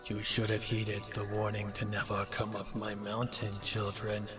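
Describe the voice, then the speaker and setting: Man voicing a low, monotone icy wendigo. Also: measured pace, close to an everyday voice. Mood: cold menace. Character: tall gaunt icy wendigo, cold, menacing, predatory. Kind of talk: dramatic storybook horror villain. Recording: music behind voice.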